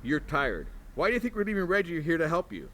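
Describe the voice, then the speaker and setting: An actor playing a cocky, flirtatious monster hunter who is sarcastic and pushy, in a comedy horror audio drama. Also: male voice, on the high side, big pitch swings, fast, sharp tone. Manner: sarcastic, pushy, smooth-talking.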